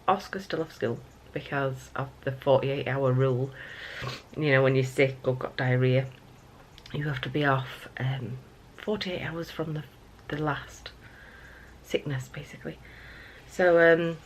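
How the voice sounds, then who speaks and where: A middle-aged woman talks to a handheld camera in her kitchen, her voice croaky from just waking up and sitting low for a woman. She rambles along casually, with plenty of ums and restarts. A faint hiss runs behind her. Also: rough recording. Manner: rambling with many fillers. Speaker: vlogger. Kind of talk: casual morning vlog chat. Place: family home kitchen.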